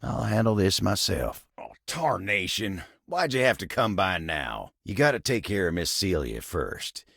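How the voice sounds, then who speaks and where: A man voicing a folksy, dependable living table in a video game, with an American Southern accent, a gravelly voice and a courteous, plain-spoken drawl. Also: low voice, big pitch swings, a little slow, few pauses, a bit bright, no echo, clean studio sound, full character voice. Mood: gruff, flustered. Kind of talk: video game character acting.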